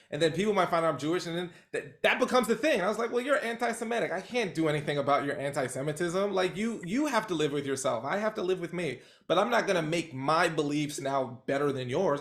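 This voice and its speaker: A man leading a training call as coach, talking in an animated, rambling way with lots of fillers over a video call. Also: on the high side.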